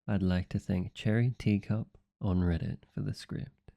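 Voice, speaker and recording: A man's voice: deep voice, steady tone, unhurried, vocal fry, warm tone, no room sound, studio quality. A man who does voice acting, casually thanking his listeners in his own voice. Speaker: voice actor.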